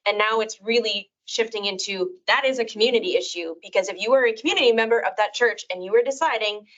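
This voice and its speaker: A woman's voice: medium pitch, fast talker. Chatty, informal woman, dull sound. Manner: chatty, informal, says she has a loud voice. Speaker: parent panelist. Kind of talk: panel discussion. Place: event room with audience.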